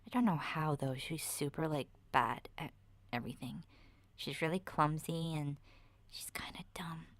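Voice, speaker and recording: A woman voicing a chatty, candid young girl surviving a zombie apocalypse in a soft, breathy, half-whispered ASMR roleplay, talking in a hesitant, rambling way. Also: deep voice, unhurried, no room sound. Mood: gossipy. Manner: hesitant, rambling, youthful casual phrasing.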